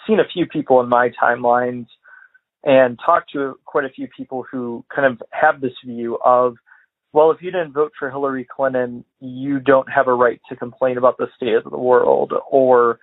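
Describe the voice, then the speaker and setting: A man from Illinois leaving a voicemail for a podcast, talking in a measured, hesitant way with lots of fillers. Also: medium pitch. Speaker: caller. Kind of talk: voicemail commentary. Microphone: phone. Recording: tinny phone-line voicemail.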